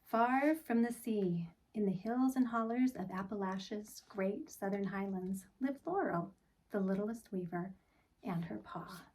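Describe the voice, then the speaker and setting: A woman reads a picture book to young children at a slow, deliberate pace. Her delivery is expressive, with soft phrase endings, and she sounds a little way off from the microphone. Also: mid-range voice, recorded from a distance, low-quality recording. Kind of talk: storytime read-aloud for children.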